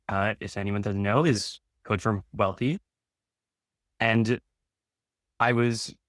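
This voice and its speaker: A man's voice: lowish voice, no echo, clean studio sound. Blunt, wry New man. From Brooklyn, New York. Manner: blunt, wry, matter-of-fact. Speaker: podcast guest. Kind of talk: casual frank conversation.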